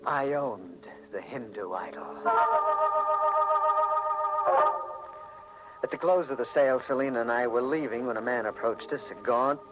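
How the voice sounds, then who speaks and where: A man's voice: medium pitch, brisk, loud music behind the voice, natural voice. An actor playing an obsessive, stubborn, haunted married man, telling his story in intense, melodramatic 1940s radio style. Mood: fixated. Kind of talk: 1940s radio drama acting, confessional narration. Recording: noisy 1940s radio recording.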